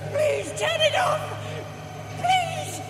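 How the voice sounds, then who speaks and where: Very high, panicked, pleading woman. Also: lively intonation, very slow, heavily acted. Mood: terrified, pleading. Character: frightened, pleading woman on a creepy tape. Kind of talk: audio drama acting. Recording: distant echoing hall, loud music.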